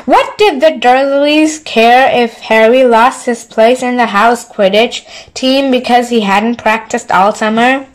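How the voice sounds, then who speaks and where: A woman's voice: a little high, takes it slowly, poor audio. A woman reading a children's story aloud as scripted narration. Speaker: audiobook reader.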